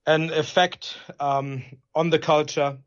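A man's voice: on the high side, level tone, slow, lo-fi sound. A man from Germany, a native German speaker with a German accent, answering interview questions as a pastor in an earnest, explanatory way.

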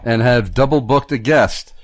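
Halting formal male radio host. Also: mid-range voice, very noisy. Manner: formal, halting with many fillers. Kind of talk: radio interview.

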